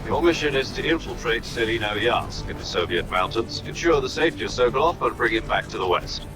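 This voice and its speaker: A man voices a composed, middle-aged military commander for a video game. He briefs with measured authority and precise diction at a medium pitch, with music clearly playing behind him. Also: expressive, natural voice. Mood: firm, urgent. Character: composed middle-aged male army major briefing his agent. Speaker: commanding officer, a major.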